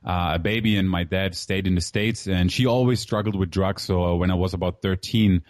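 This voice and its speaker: Low-voiced man telling his story, dry. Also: steady tone, brisk, dry recording. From Germany. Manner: candid, filler-heavy, calls host "man". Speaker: guest entrepreneur. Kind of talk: casual interview storytelling.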